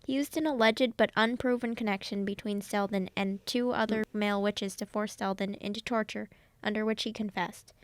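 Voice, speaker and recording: A woman's voice: mid-range voice, fairly even intonation, normal speed, no room sound, close to an everyday voice. A woman playing a confident, scholarly student in an amateur audio drama, with fluent academic phrasing. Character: articulate, confident female student, scholarly know-it-all.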